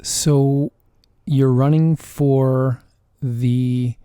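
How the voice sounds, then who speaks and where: Dark flat-voiced male podcast host. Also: medium pitch, extremely slow, smooth, dry recording, clean studio sound. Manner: brief clarifying questions. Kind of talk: interview questions.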